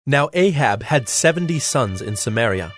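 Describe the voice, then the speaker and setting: A man's voice: medium pitch, sing-song, moderate pace, faint music behind. Male narrator, mid-range voice, scripted dramatized scripture reading with light score beneath.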